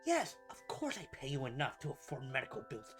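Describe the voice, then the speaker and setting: A man's voice: medium pitch, wildly swooping pitch, brisk, fairly bright tone, faint music behind. A man playing a pompous, melodramatic supervillain in a scripted ASMR roleplay, a bumbling rival who slips between grand speeches and chatty asides. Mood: exasperated, scolding. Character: theatrical, bumbling supervillain, gloating yet secretly caring rival.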